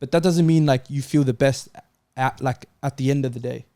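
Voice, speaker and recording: A man's voice: medium pitch, fairly even intonation, a little fast, dark tone. A man in a casual football debate, phrasing his argument tentatively and circling round his point. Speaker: football panel show regular.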